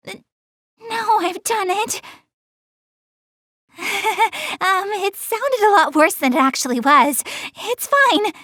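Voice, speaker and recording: A woman's voice: very high-pitched, pushed and bright, dry recording, studio quality, full character voice. A woman voicing an earnest young goddess girl in an anime game, proud but shy, speaking to the player in a self-conscious, slightly defensive way. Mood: flustered, embarrassed, then sheepish, reassuring. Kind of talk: English anime game voice acting, addressing the player.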